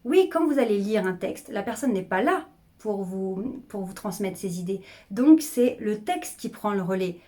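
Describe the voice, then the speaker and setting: A middle-aged woman speaking as a public speaking coach, energetic and emphatic, often repeating phrases to drive a point home. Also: mid-range voice, normal speed. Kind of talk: coaching video monologue.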